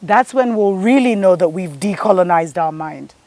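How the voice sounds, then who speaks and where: A woman's voice: fairly low pitch, lively intonation, normal speed, a little mellow, dry recording. A woman, hosting a spiritual show and giving an earnest, preachy monologue that speaks to her listeners as family. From Kenya.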